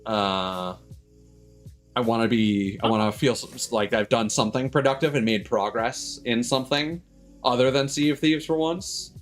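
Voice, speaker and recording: A man chatting casually as he hosts a game stream, rambling and halting, with stammers and offhand swearing. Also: mid-range voice. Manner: casually profane. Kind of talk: casual livestream chat. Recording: music playing behind the voice.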